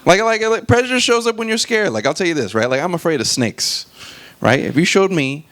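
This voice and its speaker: A man performing conversational stand-up at a live open mic, animated and sweary, often checking in with the audience using short questions. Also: high voice, a little fast. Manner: animated, swearing, frequent rhetorical check-ins to the crowd. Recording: clearly noisy live open mic recording.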